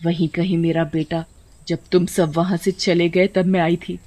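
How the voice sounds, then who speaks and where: A woman's voice: fairly low pitch, level tone, normal speed, dark tone, close to an everyday voice. A woman voicing a giant female serpent in a horror story, a grieving mother who is menacing, taunting and bent on revenge. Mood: bitter vengeance. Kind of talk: Hindi horror cartoon story acting.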